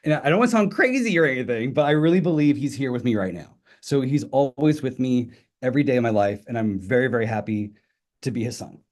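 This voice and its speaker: Italian-native guy, middle-aged, easygoing storyteller, warm low-key voice. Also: fast. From Italy. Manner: friendly, informal, storytelling. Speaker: guest speaker, linguistics professor. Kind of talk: personal talk on an online webinar.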